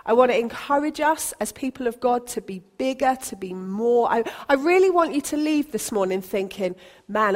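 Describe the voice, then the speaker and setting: A woman pastor from Britain, preaching a sermon in a chatty, encouraging way with light humour. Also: mid-range voice. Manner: conversational, encouraging, self-deprecating humour.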